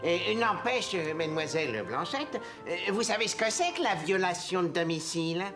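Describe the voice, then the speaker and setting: A woman's voice: on the low side, big pitch swings, moderate pace, over music. A woman voicing a grumpy, fussy little forest dweller in a French cartoon dub, objecting in a hesitant, pedantic way. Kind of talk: French-dubbed cartoon acting.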